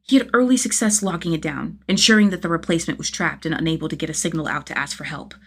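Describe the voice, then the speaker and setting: A woman reading a story aloud as an expressive scripted narration, with a slightly husky voice. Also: low voice, quick pace. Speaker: audiobook-style narrator of fan fiction.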